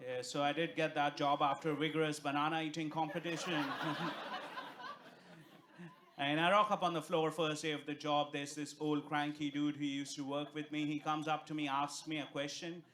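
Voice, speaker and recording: A man's voice: a little high, flat delivery, moderate pace, a crowd in the background. A young man from India with an Indian accent, doing a stand-up comedy set on stage with brash, profane punchlines. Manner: brash, profane punchlines over audience laughter. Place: comedy club stage before a live audience. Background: audience laughter.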